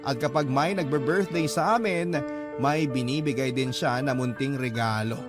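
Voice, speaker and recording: A man acts out a lovestruck young office worker telling his own story in radio-drama narration. He is earnest and confiding, with a medium-pitched voice over prominent music. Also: normal speed, natural voice. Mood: earnest, sympathetic.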